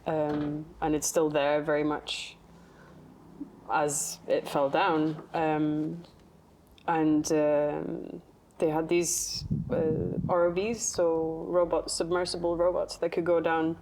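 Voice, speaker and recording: A woman from Norway, an artist, calmly answering interview questions about her work. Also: low voice, slow, a little hiss or hum, rough recording.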